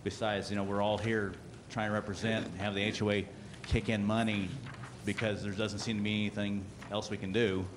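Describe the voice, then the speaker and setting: A man's voice: lowish voice, level tone, fairly quick, hardly any pauses, noisy background. A man making a blunt, frustrated public comment at a community meeting.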